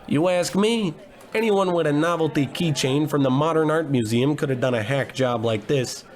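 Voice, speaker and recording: An actor playing a bumbling, self-important, grumbling private investigator in a comedy in old radio detective style. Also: male voice, a little high, moderate pace, lightly acted. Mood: blustery, oblivious. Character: bumbling, blustery, gluttonous male private investigator.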